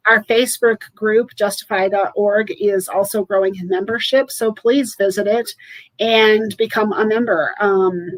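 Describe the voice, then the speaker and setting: A woman's voice: mid-range voice, dry recording, rough recording. A woman in her forties hosting a live political roundtable from home over a video call, chatty and upbeat with many fillers. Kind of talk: live webcast chat. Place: home room with shelves behind. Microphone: webcam on a video call.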